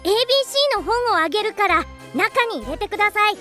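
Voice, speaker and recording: A woman voicing a naive, mischievous wooden puppet boy in a radio drama, with a bright childlike voice. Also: very high voice, big pitch swings, fairly quick, soft background music, full character voice. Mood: pleading.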